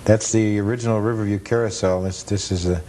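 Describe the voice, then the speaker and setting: A man's voice: steady tone, fast talker, warm tone. A man giving casual, matter-of-fact commentary over old footage, full of detail and anecdote. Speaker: guest film collector and amusement park historian. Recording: noisy rumbly 1980s cable TV audio.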